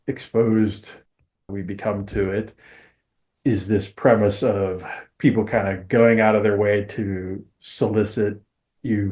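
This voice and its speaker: A man with a fairly low voice talks as a podcast co-host. He builds long, measured sentences with slightly formal wording, in a conversational discussion style. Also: a little mellow. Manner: wordy, measured, formal phrasing.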